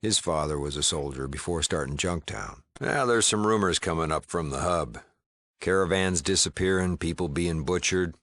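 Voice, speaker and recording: An American actor voicing a gruff, wry frontier town leader in a video game, laconic and plain-spoken with a gravelly voice. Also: male voice, normal speed, a bit bright, dry recording. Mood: matter-of-fact. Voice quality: deep gravelly voice. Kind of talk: video game character dialogue.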